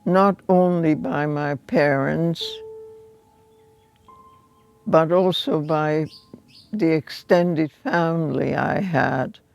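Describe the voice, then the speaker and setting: Very slow, gravelly old woman. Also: low voice, a little mellow. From Hungary. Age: 90s. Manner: slow, measured, with long pauses. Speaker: Holocaust survivor giving testimony. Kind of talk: reflective spoken testimony. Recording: dull lo-fi sound with faint music underneath.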